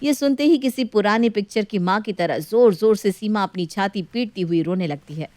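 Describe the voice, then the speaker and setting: A woman's voice: medium pitch, normal speed, darkish tone. A woman reading out scripted story narration as a storyteller.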